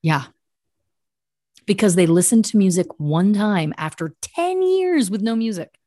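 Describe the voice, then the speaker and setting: A woman's voice: medium pitch, expressive, measured pace, mellow, dry recording, studio quality. A woman hosting a podcast, retelling a story as mock-serious true crime in an emphatic, conversational way with asides. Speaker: podcast host telling a story.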